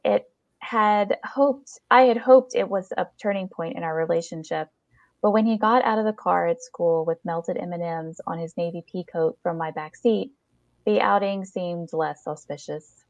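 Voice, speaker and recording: A woman's voice: fairly low pitch, normal speed, no echo. A woman reading a first-person short story aloud as scripted narration, in a dull lo-fi recording.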